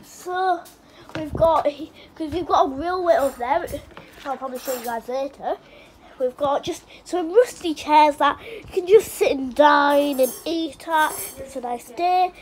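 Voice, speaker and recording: A woman's voice: sits high, a little slow. A girl giving a hesitant, rambling house tour on a phone held at arm's length, with lots of ums. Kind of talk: casual home vlog. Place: suburban house, indoors and garden. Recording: noisy phone recording at home.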